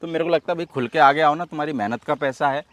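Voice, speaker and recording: A man with a fairly high voice. He talks casually as an interviewer, pressing his opinions with emphasis. The sound is dry and close. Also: fast talker, fairly bright tone, no room sound. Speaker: interviewer, host. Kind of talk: casual conversational interview in colloquial speech.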